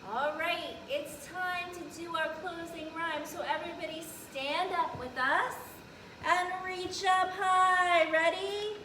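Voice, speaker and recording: An extremely high-voiced woman leads a children's action rhyme, slowly calling out each move in a sing-song way. She is heard from some distance in an echoey space, with faint noise behind her. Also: fairly even intonation. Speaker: storytime host leading a children's rhyme.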